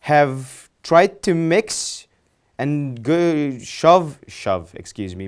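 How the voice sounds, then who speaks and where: A man's voice: mid-range voice, darkish tone, clean tone. A young man hosting a studio interview, asking long, polite questions with frequent restarts and self-corrections. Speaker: interview host. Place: studio set, indoors.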